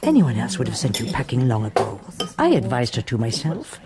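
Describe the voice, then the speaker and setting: An actress playing a stern, loyal nun who scolds in a brisk way. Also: female voice, rumbling low, wildly swooping pitch, over loud music, full character voice. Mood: scolding. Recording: noisy, with clinking dishes.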